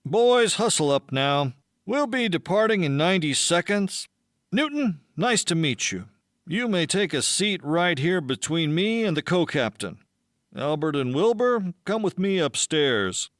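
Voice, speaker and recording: A brisk, hospitable spaceship captain, voiced by a male reader for a storybook. The voice sits fairly high and swings animatedly, with a dry sound. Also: sing-song, dry recording. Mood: brisk, welcoming. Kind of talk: audiobook character voice.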